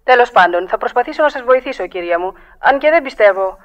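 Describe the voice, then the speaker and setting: An actress plays an officious exchange supervisor in a radio drama. The sound is slightly dull and lo-fi, her voice fairly high, composed and formal. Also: animated, lightly acted. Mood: calm, officious. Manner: measured, formal. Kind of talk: radio play acting. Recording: dull, lo-fi old radio recording.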